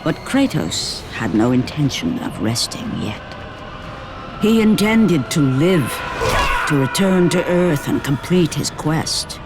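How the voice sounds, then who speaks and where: A portentous narrator of ancient legend, fairly high for a male voice and very unhurried. The scripted telling is grave and ominous, set over clear music. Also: lively intonation. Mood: epic, foreboding. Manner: solemn, portentous, hushed phrase endings. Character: grave, ominous storyteller of a Greek myth. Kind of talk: dramatic scripted game narration.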